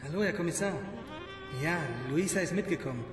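A man's voice: sits high, brisk, music in the background, lightly acted. An actor playing an earnest, insistent young male investigator in a radio drama, reasoning things out. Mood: protective, firm.

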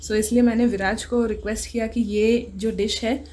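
A woman's voice: on the high side, steady tone, normal speed, a little mellow. A woman in her thirties hosting a home cooking show, chatting casually and asking guiding questions. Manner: encouraging, asks guiding questions. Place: home kitchen, indoors.

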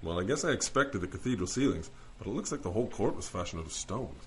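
A man playing a flustered, incredulous lawyer out of his depth in an audio drama, excitable and prone to stammering. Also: on the low side, fast, slight background noise, lightly acted. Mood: hesitant, puzzled.

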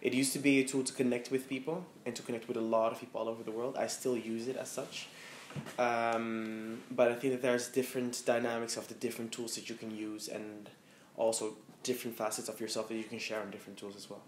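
A man's voice: medium pitch, flat delivery, quick pace. A man in his thirties from the Netherlands, speaking as a guest before a small audience in a candid, reflective way with many fillers. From Amsterdam, Netherlands. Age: 30s. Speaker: guest, fashion stylist. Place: room with a live audience. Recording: roomy echo, faint noise.

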